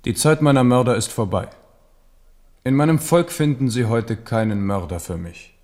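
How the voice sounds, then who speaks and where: Guy acting proud shrewd king in a radio play, mid-range voice, confident, regal, quick to parry. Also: mid-range voice, darkish tone, close to an everyday voice. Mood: defiant, assured. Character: proud, shrewd, self-assured French king. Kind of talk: 1970s German radio drama acting.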